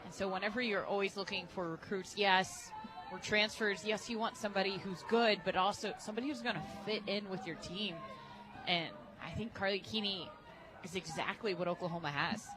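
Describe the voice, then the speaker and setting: A woman offers color analysis on a live softball broadcast. She talks informally, thinking aloud and repeating phrases for emphasis, while music plays clearly in the background. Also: mid-range voice, a little fast, rough recording.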